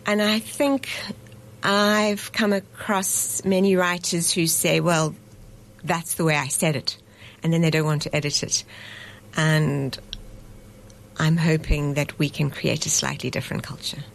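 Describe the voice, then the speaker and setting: A woman's voice: sits low, fairly even intonation, measured pace, creaky, slight background noise, poor audio. A woman in her fifties, a festival director from South Africa, talking in a radio studio interview, thoughtful and correcting herself as she goes. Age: 50s. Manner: thoughtful, self-correcting, reflective.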